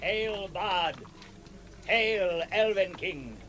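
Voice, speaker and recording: An actor playing a proud, hot-tempered dwarf warlord in a blustering 1960s radio drama manner. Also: male voice, high-pitched, drawn-out words, bright, forceful tone, slight background noise, heavily acted. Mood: proud hail. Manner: blustering, declamatory. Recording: vintage radio drama with music.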